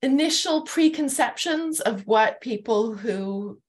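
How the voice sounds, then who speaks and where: A woman's voice: fairly high pitch, lively intonation, slow pace. A middle-aged woman hosting a thoughtful discussion over a video call, earnest and articulate as she searches for the right phrasing. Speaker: host, interviewer. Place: living room at home, indoors, on a video call. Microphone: wired earphone headset on a video call.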